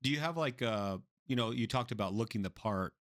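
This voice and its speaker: A man's voice: medium pitch, a little fast. A man hosting a podcast interview, asking casual questions and backing up his guest with short replies. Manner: easygoing, many short backchannels. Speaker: podcast host.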